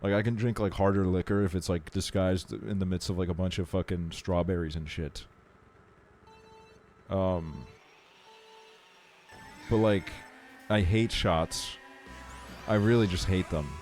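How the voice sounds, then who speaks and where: Low-voiced man streaming, music behind. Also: fairly even intonation, a little slow, long pauses, darkish tone. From Estonia. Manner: slangy, frequent swearing. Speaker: livestreamer. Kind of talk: casual chat while streaming a video game.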